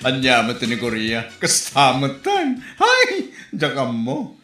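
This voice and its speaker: A woman's voice: very deep voice, huge pitch swings, slow pace, loud music behind the voice, exaggerated voice. Woman playing a mocking ilocano gossip in a comedy skit, swoopy incredulous voice, echoey. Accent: Filipino (Ilocano). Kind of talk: Ilocano comedy drama acting.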